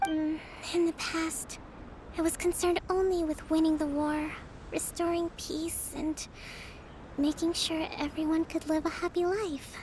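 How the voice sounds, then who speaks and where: A woman voicing a young female divine priestess who leads an army, composed and authoritative in a measured way. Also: very high-pitched, level tone, noisy background. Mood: soft reflective. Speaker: commander. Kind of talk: video game voice acting. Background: game music.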